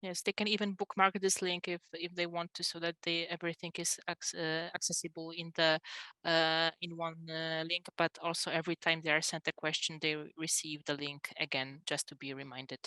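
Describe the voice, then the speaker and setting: A woman explaining things as a webinar panelist on a video call, halting and repeating words. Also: deep voice, level tone, pushed and bright, no room sound.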